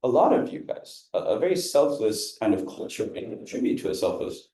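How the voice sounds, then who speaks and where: A man's voice: medium pitch, big pitch swings, fairly quick, dark tone, echoey room. A man who grew up in India, interpreting a Buddhist teacher for an audience in a loose, rambling way with lots of fillers and restarts. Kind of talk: interpreting a dharma talk, casual. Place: event room with an audience.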